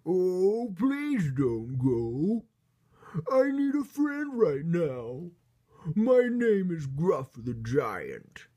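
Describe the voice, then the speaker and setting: A reader voicing a huge, friendly, needy male giant in a children's storybook, slow and plaintive. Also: medium pitch, wildly swooping pitch, mellow, pitched above the performer's own voice, full character voice. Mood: tearful, pleading.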